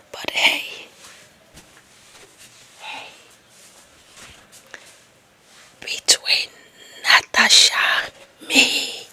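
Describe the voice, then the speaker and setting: A breathy, very slow whisper with a sharp, bright edge carries this lovestruck young office gossip as a woman acts her out. She has an African accent. She is bossy, dramatic and deluded, in the manner of an ASMR roleplay. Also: fairly high pitch, heavily acted. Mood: smug, excited.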